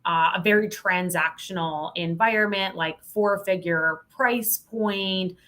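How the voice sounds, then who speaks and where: A woman's voice: medium pitch, takes it slowly, a bit bright. A middle-aged woman speaking candidly and emphatically as a guest in a conversational podcast interview, with a wry edge. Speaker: podcast guest, sales trainer.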